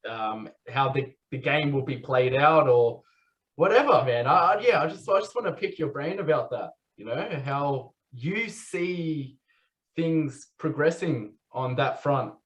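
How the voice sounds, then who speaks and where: A laid-back man co-hosts a podcast, talking in a slangy, easygoing way. His voice sits slightly high. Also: lively intonation, measured pace, highs cut off. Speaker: podcast co-host. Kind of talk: casual podcast chat.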